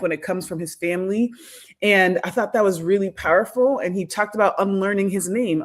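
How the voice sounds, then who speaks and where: A woman in her thirties, an academic guest on a podcast, talking reflectively with frequent pauses and restarts. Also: on the low side. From United States. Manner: thoughtful, many fillers and restarts. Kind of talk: reflective conversational interview.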